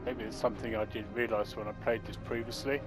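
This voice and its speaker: A man commenting over his gameplay, rambling and halting, with restarts and fillers. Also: fairly low pitch, little pitch movement, poor audio. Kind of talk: let's play commentary. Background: game music.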